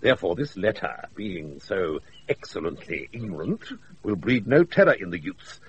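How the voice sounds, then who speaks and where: An actor playing a boisterous, scheming old British knight in a radio play, grandiose and blustering with drawn-out, mock-menacing words. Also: male voice, sits low, sing-song, lo-fi sound. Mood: gleeful scheming. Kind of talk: classical radio play acting. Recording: noisy off-air radio recording.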